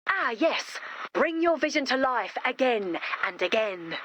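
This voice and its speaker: A woman voicing an eager young female inventor in an animated video game, enthusiastic and emphatic, cheerfully matter-of-fact about macabre things. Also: on the high side, a little slow, slight background noise.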